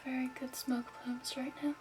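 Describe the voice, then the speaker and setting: A woman doing an ASMR shopkeeper roleplay in a soft, breathy, whispered voice, gently instructing. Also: high-pitched, level tone, normal speed, music in the background. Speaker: ASMR performer playing a crystal shop keeper.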